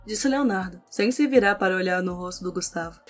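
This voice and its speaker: A woman's voice: medium pitch, brisk. A woman with a Brazilian accent reading scripted narration for an audio novel. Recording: music under the voice.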